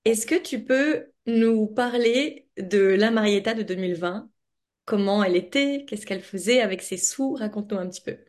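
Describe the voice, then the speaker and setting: A woman hosting a podcast interview in a casual, chatty and expressive way, speaking informally as a coach. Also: mid-range voice, moderate pace. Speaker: podcast host and money coach.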